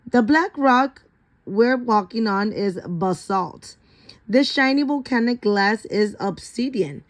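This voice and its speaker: A woman's voice: on the high side, expressive, takes it slowly. A woman voicing an unflappable female schoolteacher in a storybook, declaring facts to her class. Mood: instructive, eager.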